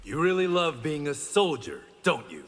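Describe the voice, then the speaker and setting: A man's voice: a little high, very animated, normal speed, fairly bright tone, long reverb tail. An actor playing a stoic blind swordsman hero in a video game, with dry, confident wit. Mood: dry confidence.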